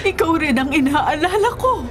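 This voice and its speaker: High-pitched, anguished Filipino mother. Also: animated, moderate pace, fairly bright tone. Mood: pleading. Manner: tearful, pleading, melodramatic. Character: worried, loving middle-aged mother begging her son. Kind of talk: Filipino radio drama acting.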